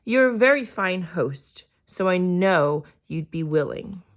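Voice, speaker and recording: A woman's voice: fairly low pitch, lively intonation, slow pace. A woman voicing a cheeky, self-satisfied little male bird in a children's storybook.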